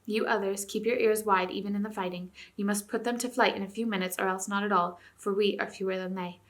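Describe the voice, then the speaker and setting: A woman voicing a stern, noble king giving calm, old-fashioned commands. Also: medium pitch, fast, natural voice. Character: stern, noble, hopeless king, grown man.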